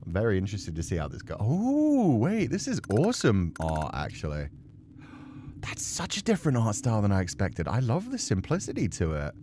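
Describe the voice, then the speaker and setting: A man livestreaming a horror game, chatting casually and excitably and swearing freely. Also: lowish voice, brisk, a little hiss or hum. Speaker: game streamer.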